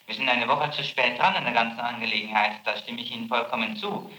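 A male prosecutor lectures and insists, talking fast in a bright, sharp voice. The recording is an echoey old tape with faint hiss behind him. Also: medium pitch, little pitch movement. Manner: insistent, lecturing, presses for the truth. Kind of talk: archival interrogation recording.

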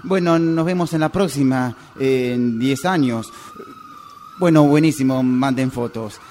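A man's voice: mid-range voice, measured pace, a little mellow, lightly acted. A man acting a sociable guy phoning his old friends, in a radio drama. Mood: resigned. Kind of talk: radio drama acting, one-sided phone call. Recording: amateur workshop recording, sirens and traffic noise.

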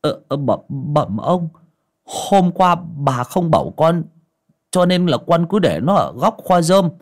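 A man's voice: on the high side, big pitch swings, slow pace, voice pushed up, exaggerated voice. A man voicing a timid young servant boy who stammers and bows. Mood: fearful. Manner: meek, stammering, bowing.

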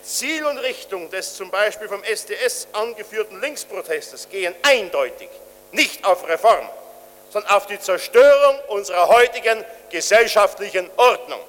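A man's voice: sits high, animated, moderate pace, fairly bright tone, in a large hall. A man giving a formal, emphatic and polemical political speech. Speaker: political speaker.

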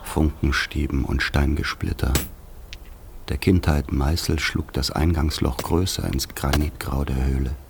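An actor in a radio play playing a grave man who recalls a dark rural childhood, speaking in solemn, measured, poetic phrases with a husky voice. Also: extremely low pitch, steady tone, heavy background noise, exaggerated voice. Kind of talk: literary radio play monologue.

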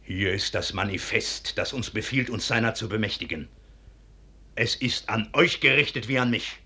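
An actor playing a proud, unyielding low-born officer in a 1950s German radio drama, stern and declamatory. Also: male voice, moderate pace, bright, forceful tone, slightly rough, full character voice. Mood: stern, insistent. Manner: declamatory, stern, clipped stage diction.

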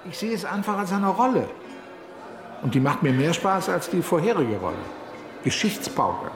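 A candid male tour guide in a radio play. His voice is fairly high for a man and echoes as if overheard in a stairwell. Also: sing-song, normal speed, music in the background. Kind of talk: radio drama dialogue.

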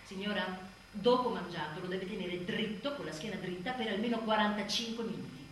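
A woman voicing a brisk, dismissive private doctor giving clipped, condescending instructions in a comic theatre monologue. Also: mid-range voice, a little hiss or hum, voice pushed down, close to an everyday voice. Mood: patronising, dismissive. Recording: echoey room, distant mic.

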